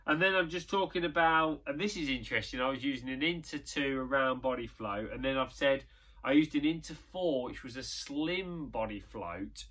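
Young guy chatting about old fishing matches, lots of ums, mid pitch, indoor shop. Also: moderate pace, fairly bright tone. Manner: thinking aloud with many ums, reflective. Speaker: angler and channel host. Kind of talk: casual reminiscing commentary.

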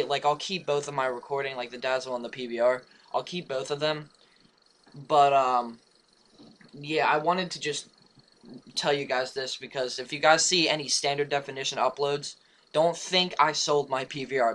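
A young man with a raspy, just-woken voice, rambling through a casual update for his gaming channel with many fillers and restarts. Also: medium pitch, a bit bright. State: hoarse, just woke up. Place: home room beside his gaming setup.

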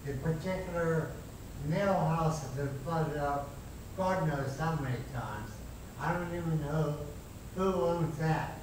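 A man in his eighties making a loud, emphatic, rambling public comment at a city council meeting. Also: a little high, takes it slowly. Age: 80s. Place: large council chamber, speaking from a chair. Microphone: far room mic. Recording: echoey chamber from far off with hiss.

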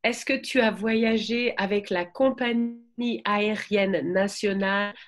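A woman's voice: mid-range voice, slow. A middle-aged woman hosting a podcast over a video call, asking curious, encouraging questions from a room at home. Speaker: podcast host. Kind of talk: conversational interview.

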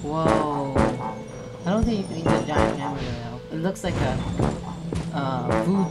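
Woman in her thirties, lively swooping intonation, casual play-along chat, encouraging exclamations, simple questions, music behind. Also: deep voice, a little slow. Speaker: mother playing a game with young child.

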